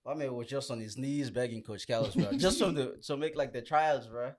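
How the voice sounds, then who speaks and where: A young man with a medium-pitched voice talks casually among friends. He tells stories in an animated, slangy way, peppered with bro. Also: brisk, a crowd in the background. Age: young adult. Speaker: podcast participant. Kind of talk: casual group chat, animated storytelling.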